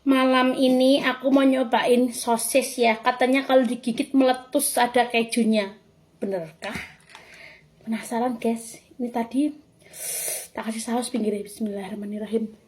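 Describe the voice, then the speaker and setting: A woman's voice: a little high, steady tone, normal speed, darkish tone. A young woman chats casually while tasting food. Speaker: food taster. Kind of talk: casual mukbang chat.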